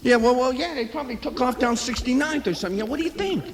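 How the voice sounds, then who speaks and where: A man's voice: very high voice, fast, background noise. A man playing a nervous, shifty sewer worker who babbles and repeats himself in a radio comedy. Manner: jittery, stammering repetition.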